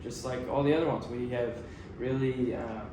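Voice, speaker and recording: A man's voice: medium pitch, steady tone. A man hosting a podcast, opening the show and introducing his guest with many fillers. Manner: halting, many fillers and restarts. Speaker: podcast host. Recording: echoey room, distant mic, noisy.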